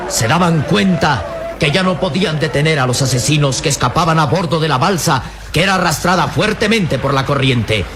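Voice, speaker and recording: A man's voice: fairly high pitch, normal speed, background noise, lightly acted. A man with a Latin American Spanish accent narrates an old radio adventure drama in a solemn, suspenseful manner. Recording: radio drama under loud music.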